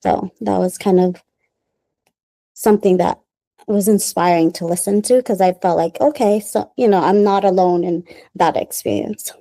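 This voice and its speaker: A woman's voice: lowish voice, fairly even intonation, moderate pace, dark tone, no echo. A woman who grew up in the Philippines, answering interview questions in a rambling, casual way with many "like"s and frequent laughter. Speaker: guest, small business founder.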